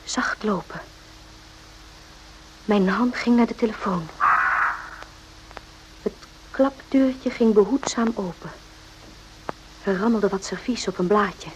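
A woman playing a guarded, correct doctor in a radio play, speaking in a measured, formal way. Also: mid-range voice, close to an everyday voice. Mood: uneasy. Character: uneasy, firm female army doctor, correct and guarded. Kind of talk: radio drama acting with narration. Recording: noisy old radio play recording.

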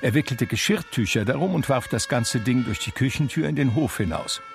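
An actor playing a reflective brother narrating a radio drama in a dry, measured, literary way. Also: male voice, medium pitch, expressive, creaky, over music. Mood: wry, reflective. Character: wry, reflective brother recalling his eccentric household.

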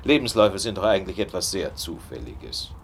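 Confident detective, very lively intonation, suave and teasing, theatrical radio drama, faint background noise. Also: male voice, low-pitched, fairly quick, creaky, exaggerated voice. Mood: amused, confident. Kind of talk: German radio drama acting.

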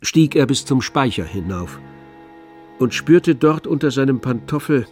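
Mid-pitched man narrating over faint strings. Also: expressive, a little slow, darkish tone. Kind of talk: scripted literary narration for radio play. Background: faint string music.

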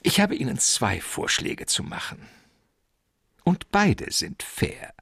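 A wily, courtly villain of a radio crime play. He speaks slowly and pompously, with wide swoops of pitch and a creaky edge. He is verbose and deliberate, savouring his own polished phrases. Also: huge pitch swings, a bit bright, no room sound, studio quality, heavily acted. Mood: suave bargaining. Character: suave, wily, verbose male criminal mastermind hunting treasure. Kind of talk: German radio crime drama acting.

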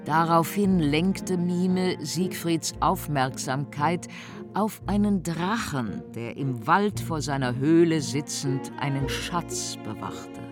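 A narrator of a fantasy audio drama with a mid-pitched voice. The delivery is slow and dramatic, like scripted storytelling, with music clearly playing underneath. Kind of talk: scripted radio play narration.

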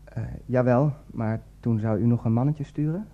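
A man's voice: medium pitch, normal speed, muffled recording, lightly acted. An actor playing a meek, injured male lodger with a Dutch accent in a radio drama, apologetic and deferential. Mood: timid reproach.

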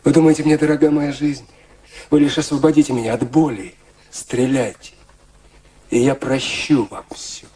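A man's voice: medium pitch, fairly even intonation, normal speed, dark tone, breathy edge, close to an everyday voice. An actor playing a lovesick, bitter and reckless man in a radio play, theatrical, brooding and commanding. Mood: desperate goading.

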